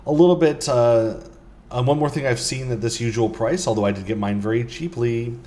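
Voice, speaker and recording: A man's voice: mid-range voice, a little fast, dark tone. A man casually talking through items in a game shop, rambling with lots of ums and uhs. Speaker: vlogger. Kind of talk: casual walkthrough commentary.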